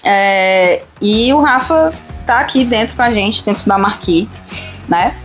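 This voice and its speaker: A woman's voice: medium pitch, expressive, measured pace. A woman hosting a casual podcast chat in an informal, teasing manner. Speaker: podcast host. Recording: music playing behind the voice. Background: music.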